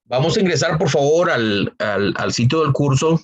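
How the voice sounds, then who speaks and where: A man teaching a university statistics class online, hoarse from a cold, speaking unhurriedly with frequent fillers and repeated phrases. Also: a little high, lively intonation, normal speed. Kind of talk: online lecture.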